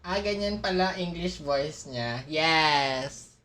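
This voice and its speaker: A woman's voice: low-pitched, slow, a bit bright. A woman with a Filipino accent, chatting casually and playfully as she streams a game, exclaiming and teasing. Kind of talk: casual livestream reactions to a game, mixing languages. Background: game music and character voices.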